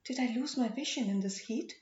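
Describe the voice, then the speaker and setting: A woman's voice: fairly high pitch, a little fast, a little mellow, roomy sound, dull audio, close to an everyday voice. A woman voicing a dazed, earnest young prince full of wonder in an audiobook story. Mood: anguished.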